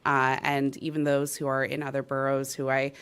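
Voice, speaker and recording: Woman, 30s, from New York, city parks official; low, smooth, earnest speech with halting restarts. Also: monotone, a bit bright. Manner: earnest, many fillers and restarts. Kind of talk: public speech at an event.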